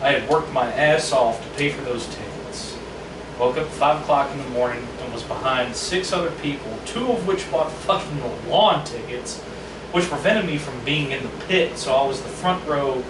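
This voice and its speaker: An animated young man from Virginia, swearing freely as he tells a story in a casual group chat. He sits back from the mic in an echoey room with a steady hum of noise behind him. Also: mid-range voice, moderate pace. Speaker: band member. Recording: roomy, distant mic with noise.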